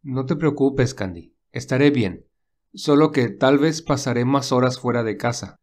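A man voicing a gentle, protective young man who speaks calmly and reassuringly in a read-aloud story. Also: medium pitch, measured pace, lightly acted. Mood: calm caring. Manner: calm, reassuring. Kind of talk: audiobook dialogue reading.

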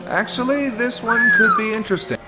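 A man acting a dry, resigned sports commentator in an anime parody dub, with deadpan delivery. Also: extremely high pitch, fairly quick, over music, exaggerated voice, low-quality recording. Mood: intrigued.

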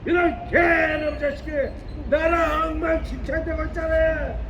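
A man at a street protest, yelling insults with drawn-out angry cries outdoors. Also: extremely high pitch, level tone, lo-fi sound, noisy background. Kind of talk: shouted protest rant.

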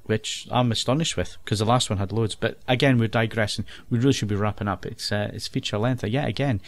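Low-voiced British man hosting a podcast. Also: brisk. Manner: relaxed, chatty, rambling wrap-up. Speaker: podcast host. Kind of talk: casual chat.

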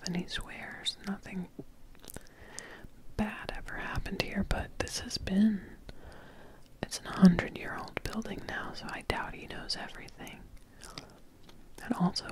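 Slow whispering young woman, ASMR. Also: mid-range voice, poor audio. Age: 20s. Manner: hushed, halting, drawn-out delivery. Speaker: ASMR video creator. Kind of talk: whispered ASMR, roleplayed paranormal video diary. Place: small indoor home studio room. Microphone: close desktop mic with foam windscreen.

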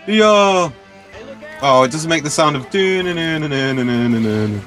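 A man's voice: on the high side, animated, a little slow, a bit bright, faint music behind. A young man chatting and joking casually while playing a video game. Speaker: gaming video player. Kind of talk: joking casual gameplay chat.

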